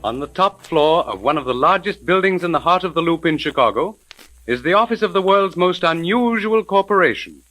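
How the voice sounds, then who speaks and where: An actor playing a formal, suspenseful narrator of a 1930s radio mystery serial. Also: male voice, a little high, expressive, brisk, fairly bright tone, no echo, faint music behind, low-quality recording.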